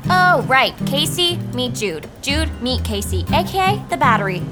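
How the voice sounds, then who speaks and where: A woman's voice: high voice, very animated, normal speed, over music. A woman playing a blunt, impatient, slightly jealous teenage girl in an audio drama. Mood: prickly.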